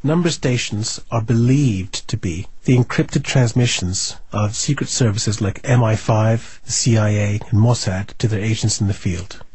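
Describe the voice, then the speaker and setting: A man being interviewed as an enthusiast, giving measured, precise explanations. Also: fairly low pitch, a little mellow, slightly rough, no echo, rough recording. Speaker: interviewee, shortwave recording enthusiast.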